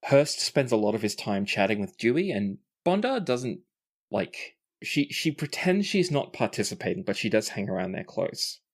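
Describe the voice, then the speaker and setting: Guy game master narrating a tabletop rpg, kinda low voice. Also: big pitch swings, moderate pace.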